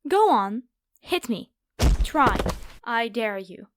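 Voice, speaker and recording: A woman's voice: fairly high pitch, big pitch swings, takes it slowly, noisy background. A woman playing a haughty, predatory noble vampire girl in an ASMR roleplay, with a taunting, playful, theatrical delivery. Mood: mocking, domineering.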